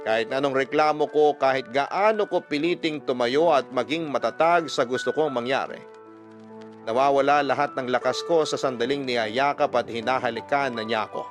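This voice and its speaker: A male storyteller delivers a scripted first-person romance letter as dramatic narration. His pitch is mid-range, and faint music runs underneath. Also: moderate pace. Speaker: storyteller reading a listener's letter.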